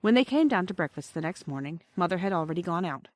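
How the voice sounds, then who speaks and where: A woman's voice: lowish voice, expressive, fast talker, dry recording. A woman reading scripted storybook narration as an audiobook reader. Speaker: audiobook reader and podcast host.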